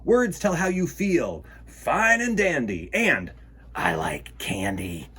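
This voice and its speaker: Animated, slow-paced man hosting children's storytime. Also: a little high, husky. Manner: animated, theatrical, playful exclamations and gasps; addresses young listeners directly. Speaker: host of a children's storytime show. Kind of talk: children's picture-book read-aloud with playful chat.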